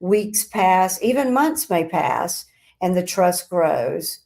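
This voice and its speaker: A woman hosting a scripted explainer, speaking in deliberate, emphatic phrases with pauses. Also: lowish voice, animated, gravelly, a little mellow. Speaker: host of a crime statistics show.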